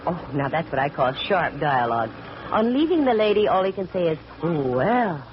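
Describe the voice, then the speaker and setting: A woman's voice: on the low side, very animated, moderate pace, noisy background. A woman acting a wry, wisecracking female private investigator in 1940s radio detective style. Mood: sardonic. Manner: wisecracking, sardonic. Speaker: lead actress and first-person narrator. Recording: muffled vintage radio recording.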